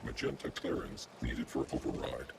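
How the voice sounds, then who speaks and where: An extremely low, robotic security computer from a video game. It states procedure coldly, sounding distant under loud noise. The voice is thick with gravelly creak. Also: male voice, normal speed, full character voice. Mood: cold, procedural. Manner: flat, procedural announcements. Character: cold automated security system refusing access.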